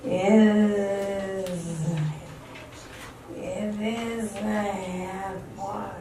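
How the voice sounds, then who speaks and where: A woman's voice: mid-range voice, steady tone, drawn-out words. A woman playing a matter-of-fact, curt rehearsal partner in an old-time radio drama, recorded from a distance in a very echoey room. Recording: very echoey, distant, clearly noisy stage recording.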